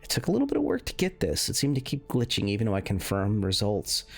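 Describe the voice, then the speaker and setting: A man's voice: lowish voice, wildly swooping pitch, fast talker, creaky. A man giving casual, unscripted commentary as a game reviewer, explaining things in a meandering, hesitant way. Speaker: video game reviewer running benchmarks. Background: music under the voice.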